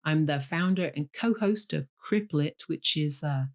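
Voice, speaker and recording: A woman's voice: deep voice, a little slow. A woman from Leeds, a novelist answering interview questions candidly, with dry humour and frequent ums. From Leeds, Yorkshire, England. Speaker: guest, novelist. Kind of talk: interview over a call.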